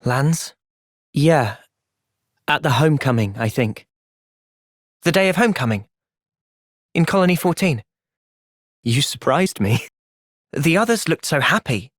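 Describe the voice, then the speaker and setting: A man voicing a thoughtful, loyal young hero in a video game, with an earnest, measured delivery. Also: fairly high pitch, very animated, a bit bright, no room sound, studio quality, exaggerated voice. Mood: pensive. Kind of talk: video game cutscene voice acting.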